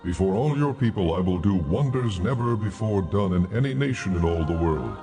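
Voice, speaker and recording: An actor playing God as a solemn, stern lawgiver in a dramatized audio Bible, speaking gravely and with deliberate authority. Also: male voice, deep voice, expressive, over music. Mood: stern, commanding.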